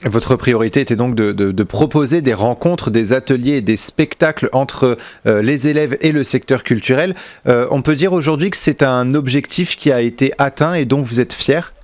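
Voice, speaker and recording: Male radio host, French from France. Medium pitch, very dry studio-like sound, halting questions with repeated words. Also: dry recording.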